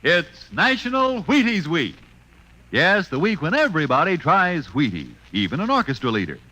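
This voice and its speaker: A man's voice: a little high, wildly swooping pitch, moderate pace, bright, forceful tone. A man with an American accent announcing a commercial in 1950s radio style.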